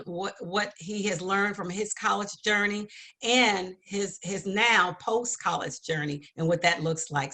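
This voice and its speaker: Woman, interview host, effusive, affirming, encouraging delivery, medium pitch. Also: pushed and bright.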